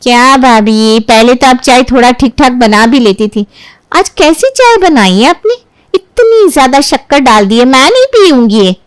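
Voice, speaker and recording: A high-pitched, fault-finding young sister-in-law acted by a woman storyteller. She is bossy and sugary, talking down to whoever she addresses in a story. The sound is very dry. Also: sing-song, normal speed, smooth, dry recording. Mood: scornful. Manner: nagging, condescending.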